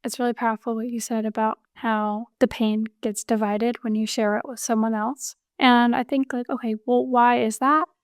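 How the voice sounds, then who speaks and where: A woman hosts a podcast interview, answering her guest reflectively and then asking the next question. Her voice is smooth and a little high, with a flat, even melody, and the sound is dry and close. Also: moderate pace, hardly any pauses, dry recording. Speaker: podcast host.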